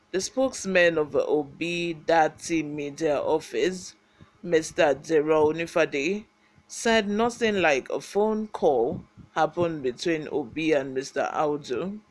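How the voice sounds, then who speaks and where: A man with a Nigerian accent presents the news for an online channel. His voice sits a little high for a man. He moves between scripted reporting and informal commentary. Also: unhurried.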